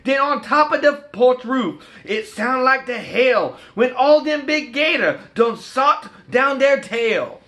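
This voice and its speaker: An excitable, folksy Cajun man tells a rhyming storybook tale. His voice is pitched very high and swoops boldly, with a bright, exuberant, declamatory edge. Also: slow pace, few pauses, full character voice. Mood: rousing, shouting. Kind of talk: rhymed storybook dialect read-aloud.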